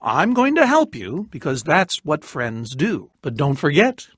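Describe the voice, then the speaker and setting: Nerdy lonely researcher game character, pompous n wordy, pitch all over the place, super dry sound. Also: male voice, wildly swooping pitch, a little slow, a bit bright, slightly rough, dry recording, heavily acted. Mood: awkwardly friendly. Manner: theatrical, verbose, pompous scholarly diction. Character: eccentric, lonely, excitable male researcher obsessed with studying creatures. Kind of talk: animated video game voice acting.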